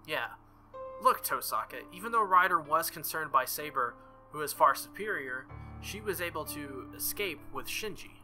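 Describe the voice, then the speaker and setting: A man's voice: on the high side, animated, moderate pace, bright, forceful tone, faint music behind. A man voicing an earnest, hesitant teenage boy hero in a visual novel. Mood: worried.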